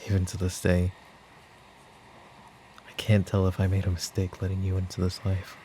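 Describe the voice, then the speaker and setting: Man acting a weary, very deep-voiced mafia boss. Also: completely flat delivery, warm tone, slight background noise, lightly acted. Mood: brooding, confiding. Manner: weary, brooding, speaking in sighs and pauses. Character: weary, guilt-ridden mafia boss and worried father. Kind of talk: roleplay ASMR audio drama acting.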